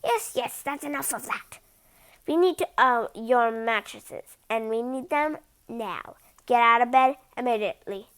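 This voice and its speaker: Woman plays storybook monarch. Imperious, brusque, high voice, animated commands. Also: unhurried, smooth. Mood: impatient. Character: imperious, impatient storybook royal giving orders.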